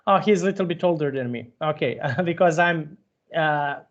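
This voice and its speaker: A man, teaching a one-on-one English lesson over a video call, patient and halting, often restarting his sentences. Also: fairly high pitch, dull audio. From Serbia. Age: 30s.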